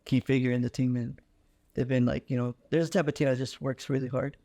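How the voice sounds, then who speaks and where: A man's voice: medium pitch, flat delivery, fast, warm tone, dry recording. A young man co-hosting an interview in casual, slangy, informal talk. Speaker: podcast co-host, interviewer. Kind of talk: casual chat.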